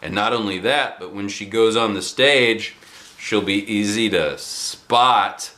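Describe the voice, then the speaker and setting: A man's voice: lowish voice, takes it slowly, sharp tone. A man voicing a jolly, joking old grandfather with a hearty manner.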